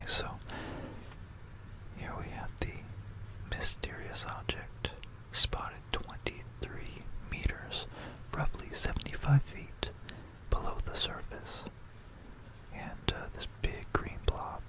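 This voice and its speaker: An ASMR presenter narrating history in a whisper, musing aloud between passages, close to a stereo microphone. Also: rough recording. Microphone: close stereo binaural microphone.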